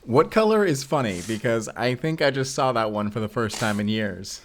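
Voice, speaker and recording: A man's voice: medium pitch, animated, moderate pace, a little hiss or hum, close to an everyday voice. A man acting a mysterious vampire slayer, earnest and wryly awkward, in an intimate audio roleplay with casual, confiding delivery. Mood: amused, teasing. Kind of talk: cinematic audio roleplay acting, intimate one-sided dialogue.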